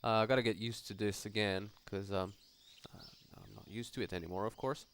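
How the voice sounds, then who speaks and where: A man's voice: fairly low pitch, fairly quick, fairly bright tone, a little hiss or hum. A man giving casual, somewhat hesitant and rambling video game walkthrough commentary as he plays. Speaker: gaming video host.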